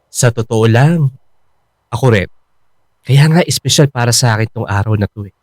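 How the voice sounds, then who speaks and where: A man's voice: mid-range voice, normal speed, a little mellow, dry recording, clean studio sound, natural voice. An actor playing an earnest, sincere young man in love in a Filipino radio drama. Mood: tender, playful.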